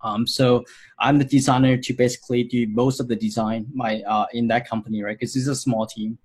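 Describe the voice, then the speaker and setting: A man's voice: medium pitch, level tone, brisk, darkish tone, breathy edge. Guy from hong kong, 30s, rambly interview talk, lots of ums and restarts. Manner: rambling, frequent fillers and restarts. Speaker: interview guest, designer. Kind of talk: casual interview answers.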